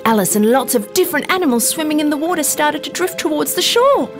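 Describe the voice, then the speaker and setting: A woman reading a scripted bedtime story for children in an expressive, deliberate storytelling manner. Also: sits high. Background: background music.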